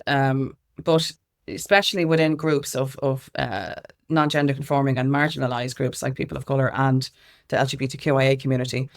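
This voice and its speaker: An Irish woman giving candid, informal and emphatic answers as an interview guest, speaking as an activist. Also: extremely low pitch. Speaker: guest, activist and music consultant.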